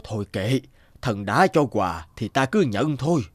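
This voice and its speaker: Grumpy, greedy beggar with swooping pitch. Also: male voice, big pitch swings, a little slow, over music. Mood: surprised, pleased. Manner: muttering to himself, full of hesitant grunts. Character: grumpy, greedy, selfish male beggar in a folk tale. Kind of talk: cartoon fairy-tale voice acting.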